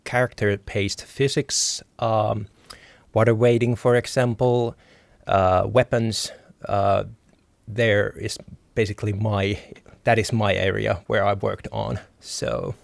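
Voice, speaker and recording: A man speaking as a guest in an interview, hesitant and pausing often with filler sounds. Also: fairly low pitch, gravelly, no echo. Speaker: guest, audio designer. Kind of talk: casual interview chat.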